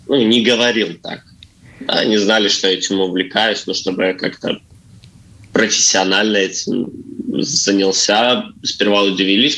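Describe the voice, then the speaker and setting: A man's voice: low-pitched, gravelly, sharp tone, poor audio. A young man speaking as an interview guest in casual conversation. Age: young adult.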